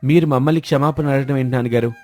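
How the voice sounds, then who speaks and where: A man's voice: medium pitch, steady tone, very fast, dark tone, lightly acted. Man voicing an earnest grown village son. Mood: resolute. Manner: earnest, respectful. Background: background music.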